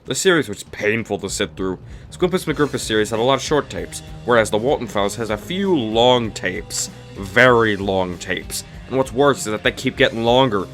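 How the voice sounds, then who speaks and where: A man's voice: on the low side, expressive, moderate pace, hardly any pauses, fairly bright tone, soft background music. A man narrating a scripted comedic review in a snarky, dismissive, mock-exasperated way. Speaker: video reviewer, host of a review series.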